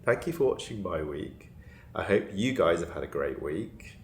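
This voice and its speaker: A man with a northern Cheshire accent chatting casually to camera in a vlog, laughing often and sounding out his T's crisply. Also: deep voice, very animated, normal speed, echoey room, slight background noise. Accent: northern Cheshire English. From England. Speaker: vlogger.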